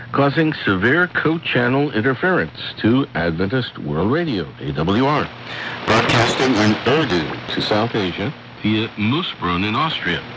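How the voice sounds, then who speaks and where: Low-voiced male host on noisy shortwave. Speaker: radio program host reading a report. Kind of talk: scripted radio news reading. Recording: noisy shortwave radio broadcast. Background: radio static and noise.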